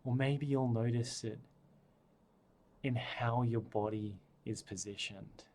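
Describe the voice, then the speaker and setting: A man guiding a breathing meditation, speaking softly and soothingly with long pauses. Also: medium pitch. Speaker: meditation guide.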